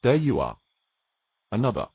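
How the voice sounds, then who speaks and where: An actor playing a tender, protective man with a husky voice and a gentle, coaxing manner in an intimate fantasy romance. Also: mid-range voice, wildly swooping pitch, normal speed, no echo, lo-fi sound, exaggerated voice. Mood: soothing. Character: tender, protective human man soothing a mermaid.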